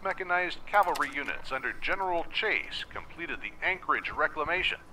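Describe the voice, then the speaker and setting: A man's voice: fairly high pitch, animated, fairly quick, sharp tone, a little hiss or hum, rough recording. A man playing a folksy storyteller, giving dramatic scripted narration of a game's lore encyclopedia, theatrical and emphatic. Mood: grave, informative.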